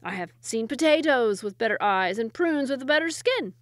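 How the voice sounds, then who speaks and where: A woman's voice: a little high, lively intonation, normal speed, dry recording, studio quality, lightly acted. A woman voicing a boastful, quarrelsome male royal wazir in a storybook, with a pompous manner and florid insults. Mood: haughty, scornful.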